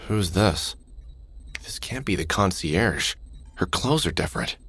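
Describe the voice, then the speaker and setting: A man's voice: deep voice, lively intonation, unhurried, a bit bright, husky, full character voice. An actor playing a weary, cynical middle-aged man in a sci-fi visual novel, dry and sardonic, muttering observations to himself. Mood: puzzled, musing. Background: music.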